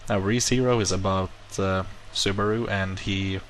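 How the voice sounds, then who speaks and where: A low-pitched man whose first language is not English talks casually to his viewers, rambling through a personal story and stopping to reword himself. A faint hum of room ambience sits under his voice. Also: moderate pace. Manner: rambling, self-correcting, animated retelling. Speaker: vlogger. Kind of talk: casual monologue to camera.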